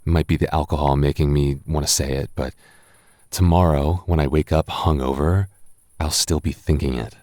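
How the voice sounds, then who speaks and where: Deep-voiced, flustered tipsy roommate played by a man. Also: fairly even intonation, normal speed, mellow, no echo. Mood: tender confession. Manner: stammering, defensive, conversational. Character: tipsy, flustered, lovestruck male roommate, defensive but flirty. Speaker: voice actor. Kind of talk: intimate roleplay audio acting.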